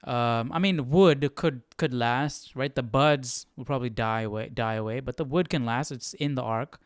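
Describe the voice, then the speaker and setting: A man's voice: mid-range voice, moderate pace, smooth, dry recording, studio quality. A man hosting a live Bible study, thinking aloud in a casual, questioning, conversational way.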